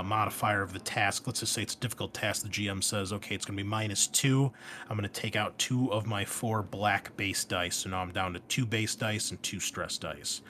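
A man talking as a host and game master, explaining tabletop game rules informally and stressing key words. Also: fairly low pitch. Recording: noticeable background noise.

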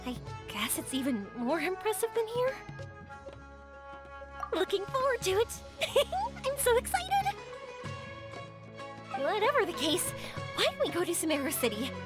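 A bubbly young woman on holiday in a video game. Her voice is very high and leaps around in pitch with eager enthusiasm, over background music. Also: big pitch swings, moderate pace. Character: excitable, warm-hearted young woman on holiday. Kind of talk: scripted video game dialogue.

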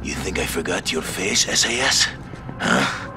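An actor playing a mocking, sadistic, defiant prisoner villain in a military game. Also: male voice, low-pitched, animated, unhurried, music in the background. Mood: mocking, menacing. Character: taunting, sadistic male terrorist prisoner. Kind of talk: cinematic game villain acting.

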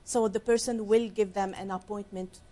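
A middle-aged woman from Lebanon, explaining her work as a career counselor in a soft, earnest way during a studio interview on a lapel mic. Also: mid-range voice, steady tone, normal speed. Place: small TV studio set, seated at a desk.

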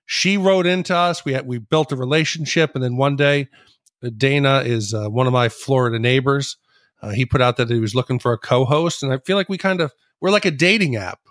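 A man's voice: medium pitch, brisk, a bit bright, no echo, clean studio sound. A man hosting a podcast, giving his opinions in casual, conversational talk. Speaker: podcast host. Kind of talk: casual podcast review discussion.

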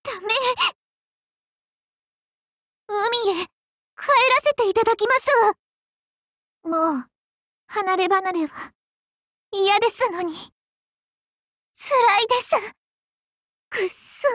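A woman's voice: extremely high pitch, slow pace, long pauses, fairly bright tone, dry recording, clean studio sound, full character voice. A woman playing a young, sweet, clingy dragon princess in a mobile game, very polite and girlish with theatrical, coquettish delivery. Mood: tearful, pleading. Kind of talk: Japanese mobile game character voice acting.

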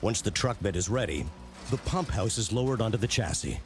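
A man reading scripted narration for a documentary series in a measured, punchy way. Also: lowish voice, lively intonation. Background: prominent background music.

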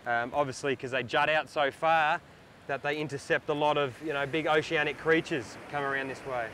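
A man's voice: on the high side, steady tone, moderate pace, pushed and bright. A middle-aged Australian man hosting a fishing show, explaining what he sees as he goes from a small boat at sea. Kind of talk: casual outdoor commentary. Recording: wind noise on the mic outdoors. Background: wind and water.

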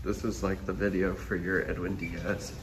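A man's voice: low voice, little pitch movement, normal speed. A young man vlogging a baseball road trip in casual, teasing chat, laughing often. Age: young adult. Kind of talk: casual joking vlog chat. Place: outdoors and in a crowded ballpark. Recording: loud crowd and street noise.

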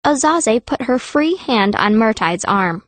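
A woman's voice: fairly high pitch, expressive, measured pace, dry recording. A woman playing a fierce, wry teenage girl mage, narrating her own story in an audiobook. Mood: wry storytelling. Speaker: first-person narrator.

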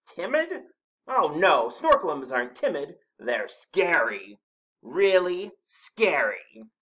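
A man's voice: fairly high pitch, big pitch swings, slow, sharp tone, pitched above the performer's own voice, heavily acted. A man voicing a boastful, growling storybook monster who blusters fiercely but is secretly timid. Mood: boastful denial.

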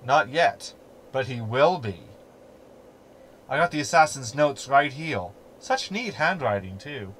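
Man as a snarky, lively-pitched hologram companion. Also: takes it slowly, slight background noise, poor audio. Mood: teasing. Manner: glib, verbose, sardonic. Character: snarky, meddling male hologram ship companion. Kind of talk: sci-fi sitcom audio drama acting.

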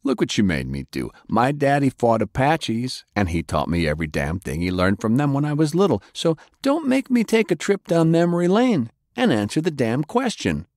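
A man voicing a rough, menacing American interrogator with a slow drawl and a sardonic, threatening manner. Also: medium pitch, wildly swooping pitch, a little mellow, dry recording, studio quality, pitched above the performer's own voice. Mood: taunting.

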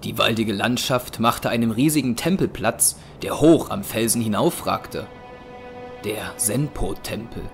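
Casting: male narrator, lowish voice, measured storytelling delivery for audio drama, music underneath. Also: creaky.

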